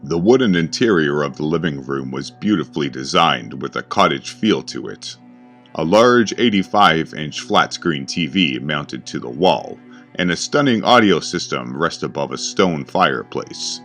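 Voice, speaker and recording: Male horror narrator, extremely low pitch; grave, ominous delivery. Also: expressive, normal speed, a bit bright, faint music behind.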